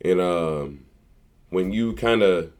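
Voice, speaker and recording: A young man in his twenties with a very low voice talks as a podcast guest. He rambles and gets animated, circling back and repeating phrases to drive a point home. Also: slow pace. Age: 20s. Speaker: guest, local activist. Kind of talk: casual conversation.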